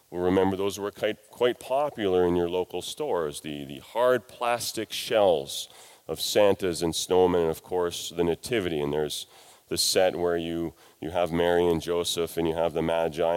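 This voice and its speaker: A man's voice: low-pitched, smooth. A middle-aged male pastor with a head cold, preaching a sermon with folksy, self-deprecating humor and reading scripture aloud. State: congested from a cold and sinus infection.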